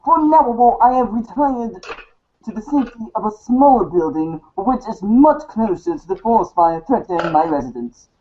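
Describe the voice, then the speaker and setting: Woman as a snooty, lively-pitched analytical girl. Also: highs cut off, lightly acted. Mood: smug, analytical. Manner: deliberate, wordy, stumbles on long words. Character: snooty, analytical teenage girl, affectedly wordy. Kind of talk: read-along fan theater.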